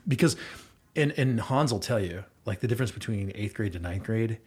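A man's voice: on the low side, expressive, a little fast, breathy edge. A middle-aged man talking casually and earnestly, rambling with fillers and restarts as he talks about coaching his kids. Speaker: guest and volunteer girls' basketball coach. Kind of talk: casual conversation.

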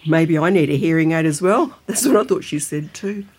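A woman playing a brisk, dry-humoured older Australian grandmother in a naturalistic audio drama. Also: low voice, a little mellow, slightly rough.

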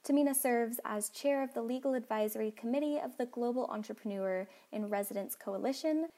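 A woman podcast host reads a long guest introduction in a clear, measured way, then moves into her questions. Her voice sits on the higher side. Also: warm tone. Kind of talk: read introduction and interview questions.

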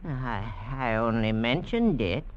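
A man's voice: mid-range voice, expressive, slow, clear, resonant tone. An actor playing a servile, eager henchman in a vintage radio drama, hesitant and stammering before his master. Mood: timid deference. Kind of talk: 1950s radio drama acting. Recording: dull vintage radio recording.

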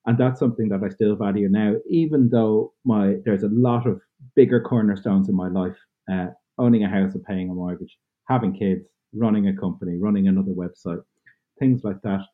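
Husky Irish man on a tinny line. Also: on the low side, fairly quick. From Ireland. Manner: earnest, thoughtful, loose phrasing with many fillers. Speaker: podcast guest. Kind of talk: reflective interview answers.

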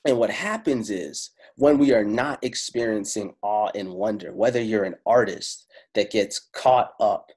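A man whose first language is Italian talks about spirituality in a relaxed, storytelling way, swearing casually and drawing out the words he wants to stress. His voice sits fairly low and has a husky edge. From Italy. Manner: casual and profane, slows down for emphasis. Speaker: spiritual speaker.

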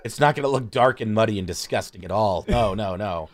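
A man's voice: fairly low pitch, brisk. A man guesting on a podcast, chatting casually with a wry, sarcastic streak. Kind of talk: casual comedic chat.

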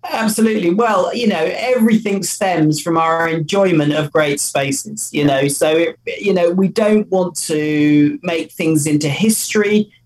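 A man's voice: fairly high pitch, measured pace, few pauses, low-quality recording. A man, co-founder of a company, answering interview questions in an enthusiastic, loose way with many fillers.

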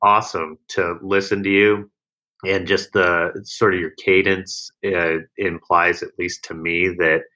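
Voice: low-pitched, flat delivery, measured pace, pushed and bright, poor audio. A podcast co-host asking a casual, easygoing question. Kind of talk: casual chat.

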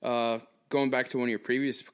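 A man's voice: mid-range voice, flat delivery, brisk, a bit bright, clear, resonant tone. A man from Texas, raised mostly in Eastern Europe, chatting casually and jokingly as a podcast guest. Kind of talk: casual anecdotal chat.

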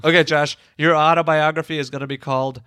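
A man co-hosting a comedy podcast, teasing his friends in playful, quick bursts of banter. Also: a little high, level tone, bright, forceful tone, clean tone, dry recording, studio quality. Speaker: podcast co-host.

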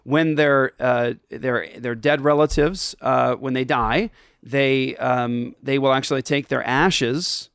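A man's voice: medium pitch, fairly even intonation, slow, vocal fry, fairly bright tone, smooth, no echo, studio quality. A man who grew up in Japan, hosting and casually explaining a Bible passage to listeners, with frequent ums and restarts. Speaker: podcast host answering listener questions. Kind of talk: casual Bible teaching chat.

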